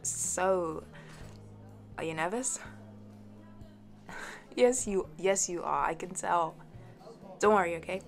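A woman's voice: lowish voice, slow pace, creaky, pauses often, faint music behind. A woman playing a playful, shyly fond young best friend in an intimate audio roleplay, giggly and hesitant. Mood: teasing, warm. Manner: giggly, hesitant, soft trailing asides. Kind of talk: intimate audio roleplay, one side of a conversation.

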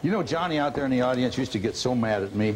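A man's voice: mid-range voice, lively intonation, fast, noisy background. An older man hosting a comic cooking show, folksy and rambling, with a dry sense of humor.

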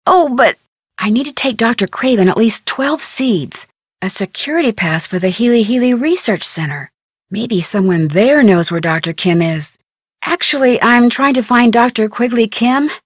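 An inquisitive young female sleuth from an adventure video game, with an American accent. Her voice sits fairly high and is recorded dry and close. She is polite but persistent, with earnest, expressive delivery. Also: moderate pace, no echo. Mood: intrigued. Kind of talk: scripted adventure game dialogue.

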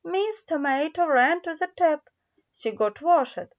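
Slow, high-pitched woman reading a children's tale. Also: animated. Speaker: storyteller, audiobook narrator. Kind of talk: scripted children's story narration. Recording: dull lo-fi recording.

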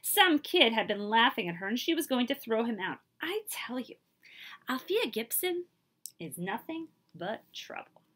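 A woman's voice: fairly high pitch, sing-song, unhurried, a bit bright, full character voice. A woman voicing an indignant young female tennis player in a storybook, complaining emphatically.

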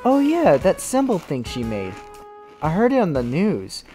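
Casting: woman as weary, earnest anime schoolboy, lowish voice with swooping pitch, music behind. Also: huge pitch swings, measured pace, dark tone. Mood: wry. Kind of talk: fan anime parody dub.

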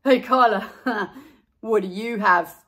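Woman as a mocking schoolboy, slow and drawling. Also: mid-range voice, fairly bright tone.

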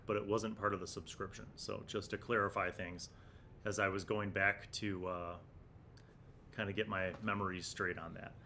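Low-voiced American man, conversational host. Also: a bit bright. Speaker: host of a tabletop gaming review show. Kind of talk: conversational vlog review. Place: small indoor studio. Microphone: large desktop studio microphone.

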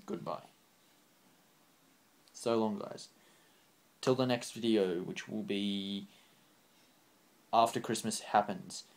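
A man's voice: lowish voice, steady tone, takes it slowly, pauses often. Young man rambling into a webcam. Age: young adult. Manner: rambling, earnest, off the cuff. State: voice getting croaky. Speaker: channel host. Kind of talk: unscripted vlog sign-off. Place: small room indoors, on a couch.

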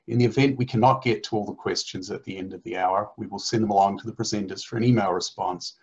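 Host type: middle-aged man, husky and low, formal scripted intros, dull call audio. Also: steady tone, quick pace, darkish tone. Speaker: event host introducing speakers. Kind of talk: read introduction. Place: indoor room with virtual city backdrop. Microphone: laptop webcam on video call.

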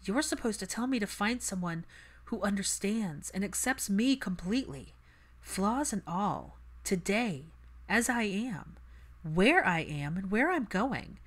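A woman's voice: medium pitch, very animated, a little slow, voice pushed up. A woman reading a storybook as a stubborn, impassioned adult daughter who argues emphatically. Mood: pleading, indignant. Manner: emphatic, argumentative. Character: Hermione, stubborn, impassioned adult daughter standing her ground.

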